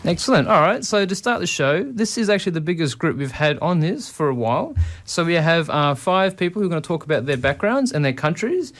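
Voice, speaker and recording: Upbeat Australian radio host, lively voice. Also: male voice, fairly high pitch. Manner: upbeat, quick affirmations. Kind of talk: casual radio chat.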